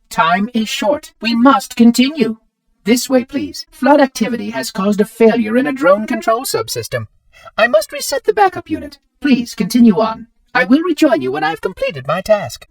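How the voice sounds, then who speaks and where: A man's voice: very high voice, clean tone, no room sound, full character voice. A man voicing a chipper, fussy male robot caretaker in a video game, speaking in a polite, formal, pedantic way, heard as distant game audio. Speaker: game character.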